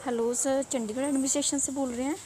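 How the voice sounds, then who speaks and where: A North Indian woman calls with an enquiry, polite but persistent and pleading. Her voice sits a little high, and there is faint noise on the phone recording. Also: fairly even intonation, fast, warm tone. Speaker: caller asking about an exam.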